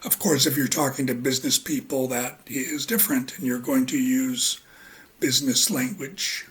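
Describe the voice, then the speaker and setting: A man with a husky voice giving a relaxed spoken lecture as an English teacher, halting often with ums and uhs. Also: medium pitch.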